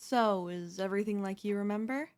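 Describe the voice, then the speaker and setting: A woman playing a teasing, possessive young woman in an ASMR roleplay, with a smug, coy, drawn-out delivery. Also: mid-range voice, a little mellow, close to an everyday voice. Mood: smug, chatty. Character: teasing, possessive young woman, former childhood bully next door.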